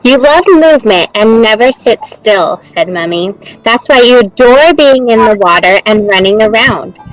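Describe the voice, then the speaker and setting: A woman's voice: on the high side, expressive, moderate pace, poor audio. A woman reading a children's picture book aloud. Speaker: reader. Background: soft music.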